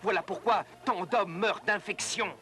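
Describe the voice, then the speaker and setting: A man voicing an earnest, thoughtful doctor and researcher in an educational cartoon, speaking in a measured, explanatory way. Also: sits high, pushed and bright. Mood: grave, concerned. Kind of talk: French-dubbed educational cartoon acting. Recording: music under the voice.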